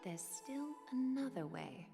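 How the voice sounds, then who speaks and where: A woman playing a regal, composed woman in a video game, poised and formal, tender yet quietly menacing, in a soft, near-whispered voice. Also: high voice, lively intonation, slow, vocal fry, heavily acted. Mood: quietly sorrowful. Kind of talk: scripted video game voice acting. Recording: long reverb, music underneath. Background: game music.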